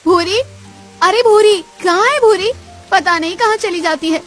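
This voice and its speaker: Woman doing a worried young village woman, pretty high voice, earnest story drama, light music. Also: moderate pace. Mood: worried, calling. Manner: earnest, urgent, expressive. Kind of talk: dramatized moral story acting.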